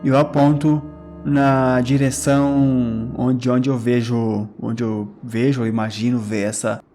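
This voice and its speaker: Halting man narrating his roleplay. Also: mid-range voice, flat delivery, dark tone. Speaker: tabletop game player. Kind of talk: first-person roleplay narration. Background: faint music.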